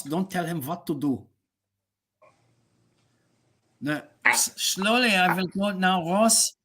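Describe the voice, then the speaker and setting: A man voicing a boastful, bossy male German professor, rambling slowly in a mock German accent on a comic live stream. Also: high voice, pushed and bright, heavily acted. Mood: commanding. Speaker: guest.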